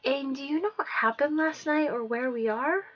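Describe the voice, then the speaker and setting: A woman's voice: high voice, moderate pace, voice pushed up, close to an everyday voice. A young heroine, lost and bewildered, voiced by a woman, speaks in a lifted, breathless voice. She stumbles over her questions in her eagerness. The recording is slightly muffled. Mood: eager.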